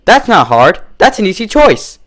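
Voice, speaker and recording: A man voicing a brash, cocky young female cartoon pegasus who is boastful and excitable as she gets drunk. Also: high-pitched, normal speed, voice pushed up. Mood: excited, teasing.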